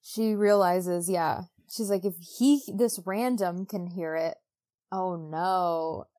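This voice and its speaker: A woman's voice: fairly low pitch, steady tone, slow pace, mellow. Casual woman recapping a story. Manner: informal, acts out characters' dialogue in modern slang. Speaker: podcast co-host. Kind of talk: casual comedic book recap.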